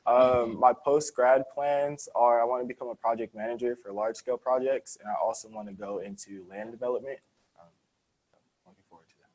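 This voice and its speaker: Cheery young college guy on a panel, casual, mid pitch. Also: fairly even intonation, a little fast, darkish tone, rough recording. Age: young adult. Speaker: student panelist.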